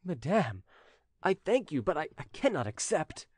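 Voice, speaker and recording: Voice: mid-range voice, sing-song, moderate pace, darkish tone, husky, no echo, clean studio sound, voice pushed up. A narrator voicing a proud, scheming male French notary who feigns innocence, in an audiobook story. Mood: wounded dignity.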